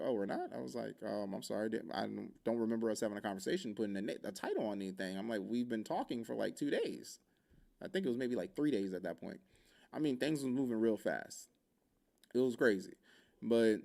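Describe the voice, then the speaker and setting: A man's voice: on the low side, creaky, a little mellow. A young man from Oahu telling a casual story, acting out quoted lines in shifting voices. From Hawaii, Oahu. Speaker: podcast host.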